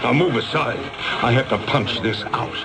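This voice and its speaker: An obsessed, arrogant schemer played by a male actor in a vintage radio drama. His mid-pitched voice is theatrical and intense, set against loud dramatic music. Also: normal speed, lightly acted. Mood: defiant. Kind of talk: 1970s radio drama acting.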